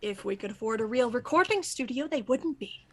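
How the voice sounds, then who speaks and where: Irritable, sarcastic actress; bright, tense voice. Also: female voice, a little high, expressive, fairly quick. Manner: sarcastic, curt, profane. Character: irritable foul-mouthed young actress Missy.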